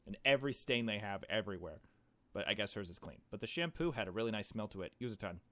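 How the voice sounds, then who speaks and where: A man's voice: fairly low pitch, expressive, fast talker, bright, forceful tone. A man doing a casual game playthrough, reading along and tossing in irreverent, joking asides. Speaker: gaming video host.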